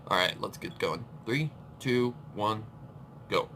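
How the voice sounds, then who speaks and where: Low-voiced man reacting casually, noisy recording. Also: expressive, slow. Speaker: reaction video host. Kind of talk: casual live reaction commentary. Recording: clear background noise on the mic.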